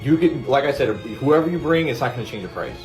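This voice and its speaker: A man working as a pawn shop clerk, talking quickly as he flatly turns down a customer. Faint music runs behind him. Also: medium pitch, low-quality recording. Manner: flat, unmoved refusal.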